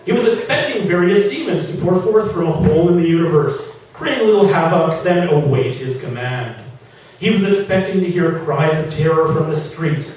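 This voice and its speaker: A man with a slightly high voice reads comic fantasy aloud as its author. His delivery is theatrical and emphatic. It is recorded from far off in a very echoey room, with faint noise behind. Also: lively intonation, normal speed, a little mellow. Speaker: author reading from own novel. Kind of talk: live dramatic reading of comic fantasy fiction. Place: public reading at an indoor venue.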